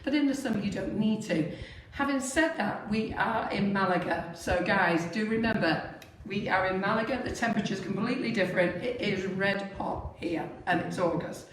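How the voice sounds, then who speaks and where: A chatty woman with a squeaky voice, co-hosting a live cake decorating demo in a home kitchen and explaining each step plainly with frequent laughs. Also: normal speed. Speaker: baking show co-host. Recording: echoey kitchen, far mic, hiss.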